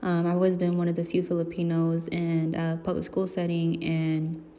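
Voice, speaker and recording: Young woman, student giving a personal statement, soft dark tone, long reverb. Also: lowish voice, completely flat delivery, normal speed. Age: young adult. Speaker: student speaker. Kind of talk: personal statement for a class presentation.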